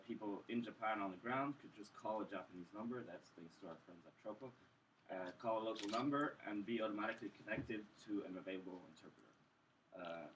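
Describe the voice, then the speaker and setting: A man giving an informal live pitch as co-presenter of a tech project. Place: live event room. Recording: distant mic, clearly noisy room.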